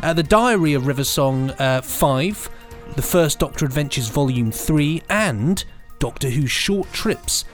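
Male co-host, raised pitch, animated delivery, playful banter over a music bed. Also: unhurried, few pauses. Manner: playful, jokey. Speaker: podcast co-host. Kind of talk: casual bantering chat.

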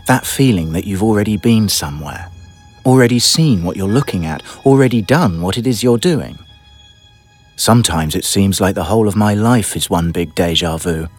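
A wry male audio-drama narrator, very low, expressive, faint music under. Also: moderate pace, slightly rough. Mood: musing.

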